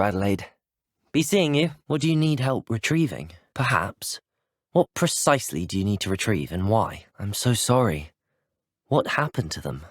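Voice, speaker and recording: A young man with a British accent playing a polite, curious, self-assured teenage wizard student in a video game, courteous and gently wry. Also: on the low side, no room sound, clean studio sound. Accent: British English. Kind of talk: video game character voice acting.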